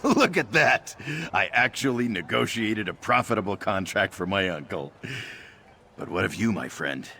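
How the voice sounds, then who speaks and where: A man's voice: on the low side, lively intonation, normal speed, creaky, pushed and bright, slight background noise, exaggerated voice. A man playing a cheerful, ambitious young orc trader in scripted video game dialogue. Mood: delighted.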